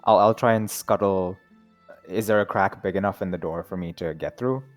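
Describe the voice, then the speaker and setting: A man's voice: low-pitched, normal speed, soft background music. A man playing in a casual online tabletop game, talking in a laid-back, chatty way and laughing often. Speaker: player.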